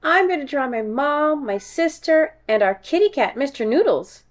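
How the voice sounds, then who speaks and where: Woman doing an eager, high-voiced little schoolgirl. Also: big pitch swings, normal speed.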